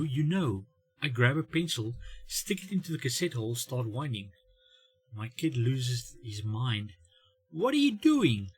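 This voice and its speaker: A middle-aged man telling a humorous story in a wry, self-mocking way, with dramatic pauses and bits of acted-out dialogue. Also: medium pitch, breathy edge, no room sound. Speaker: host of a family humour channel. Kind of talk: comedic storytelling monologue.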